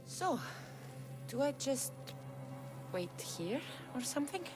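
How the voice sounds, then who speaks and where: A woman's voice: high voice, big pitch swings, takes it slowly, music in the background, full character voice. A woman playing a bold, wry female mercenary of ancient Greece in a game cutscene, direct and informal. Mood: wry, impatient. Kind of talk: video game cutscene acting.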